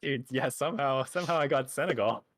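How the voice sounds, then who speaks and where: A man's voice: medium pitch, fast, no room sound. A man in a casual chat with friends, making dry wisecracks. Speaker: podcast co-host.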